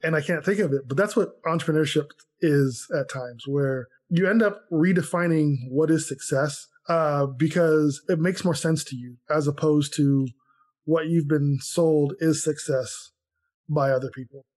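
A man's voice: a little high, measured pace, darkish tone. A man co-hosting a podcast, talking casually and reflectively through personal anecdotes as an entrepreneur. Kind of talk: casual conversational talk.

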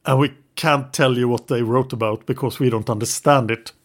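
A man's voice: mid-range voice, fairly even intonation, normal speed. A male podcast host with a mid-range voice who leads the conversation. He explains history at length in a casual way and now and then teases his co-host. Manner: teasing, leads the talk in long explanations.

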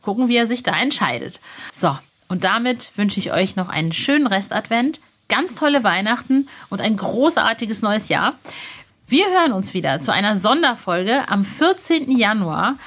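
A woman's voice: mid-range voice, animated, moderate pace, fairly bright tone. Chatty woman hosting a podcast. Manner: chatty, playful. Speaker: podcast host.

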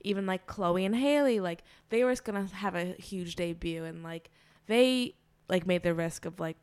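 Woman, podcast co-host, nursing a cold, casual gossip chat, smooth resonant voice. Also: fairly low pitch, darkish tone. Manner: chatty, fast-flowing, heavy with fillers. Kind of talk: casual pop-culture chat.

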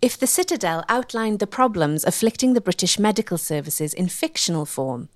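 A woman's voice: mid-range voice, expressive, fairly quick, no echo. A woman reading scripted documentary narration as the narrator.

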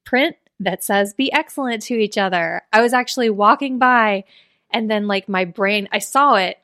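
A woman chatting casually as a podcast co-host, her voice raspy from allergies. She talks in a chatty, easily amused way. The sound is close and dry, with no room around it. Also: mid-range voice, moderate pace, bright, forceful tone, dry recording. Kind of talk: casual chat.

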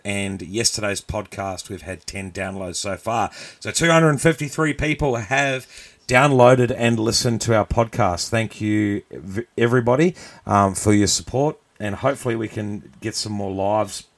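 A man in a middle pitch runs a homemade live podcast. He is chatty and loose and laughs easily between announcements. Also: fairly bright tone. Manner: laughs often, chatty, reads out stats. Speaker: podcast host. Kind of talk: casual live podcast hosting.

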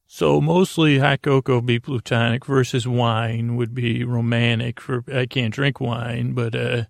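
A man hosting a bedtime podcast in a meandering, halting monologue full of whimsical tangents, with a creaky voice. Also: mid-range voice, little pitch movement, no echo, clean studio sound. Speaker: podcast host.

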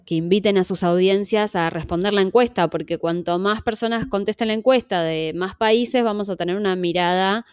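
A woman's voice: fairly low pitch, smooth, dry recording, studio quality. A woman with an Argentine accent, a podcast survey organizer explaining patiently as an interview guest, with frequent fillers. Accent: Argentine Spanish. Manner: measured, many fillers and "¿no?" tags.

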